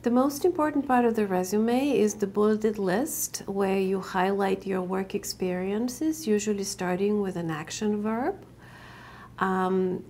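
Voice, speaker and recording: A woman who teaches career workshops, explaining resume advice in a measured, instructive way with some hesitations. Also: medium pitch, warm tone, a little hiss or hum.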